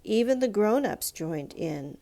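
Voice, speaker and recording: Woman reading a kids picture book out loud, slow n soft-toned, expressive w little pauses. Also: mid-range voice, vocal fry. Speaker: storybook reader. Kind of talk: scripted narration of a children's picture book.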